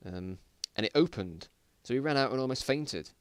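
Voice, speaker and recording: A man's voice: mid-range voice, expressive, moderate pace, smooth, no echo. Self-mocking man reading a story, dry recording. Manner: self-mocking, exasperated at the writing. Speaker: reader of own story. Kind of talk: dramatic reading with joking asides.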